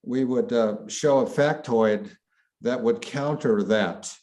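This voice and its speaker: Filmmaker man, warm dark tone, measured and deliberate speaker. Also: medium pitch. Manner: measured, searching for words. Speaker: filmmaker, panelist. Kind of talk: panel discussion.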